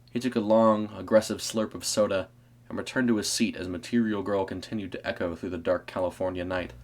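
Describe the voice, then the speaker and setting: A man reading scripted story narration as the narrator of an audio tale. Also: sits low.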